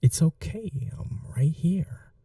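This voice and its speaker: A performer voicing a possessive vampire noble in an ASMR roleplay, speaking softly and slowly with a teasing, condescending cruelty. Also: mid-range voice, expressive, distant mic. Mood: coaxing. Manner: slow, soft, breathy, condescending teasing.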